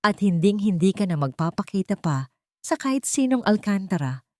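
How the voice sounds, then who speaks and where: A woman's voice: mid-range voice, darkish tone, dry recording, studio quality, close to an everyday voice. A woman voicing a cold, falsely polite, menacing wealthy female villain in an audio story. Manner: venomous, controlled, threatening.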